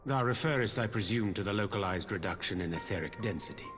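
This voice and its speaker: Man acting a deep, solemn archaic scholar, reverberant. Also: fast, a bit bright, natural voice. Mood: grave, pensive. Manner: formal archaic diction, pensive. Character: grave archaic-speaking male scholar, courtly and measured. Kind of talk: video game voice acting. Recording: long cavernous reverb, music underneath.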